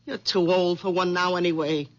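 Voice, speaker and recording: An actress playing a domineering, scolding middle-aged wife who cuts people off in an old-time radio drama. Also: female voice, fairly low pitch, fairly even intonation, normal speed, natural voice. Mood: scornful, nagging. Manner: bossy, scolding, interrupting. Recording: dull lo-fi old radio recording.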